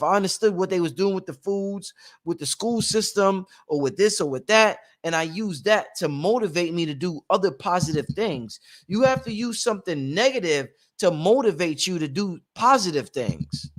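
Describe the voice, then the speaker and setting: High-voiced preachy call-in host, man. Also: lively intonation, moderate pace, fairly bright tone. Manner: preachy, emphatic, repeats phrases for stress. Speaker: host taking calls. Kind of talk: live call-in show talk.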